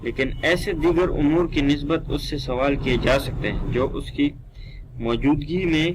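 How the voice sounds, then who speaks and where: A man giving a measured, deliberate law lesson, reading formal text aloud and explaining it. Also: a little high, background noise. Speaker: law lecturer. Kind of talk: reading statute in English and explaining it in Urdu.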